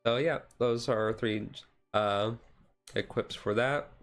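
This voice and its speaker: Casual, halting man streamer, chill delivery. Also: medium pitch, faint music behind. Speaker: streamer host. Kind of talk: casual card game deck commentary, chill ASMR stream.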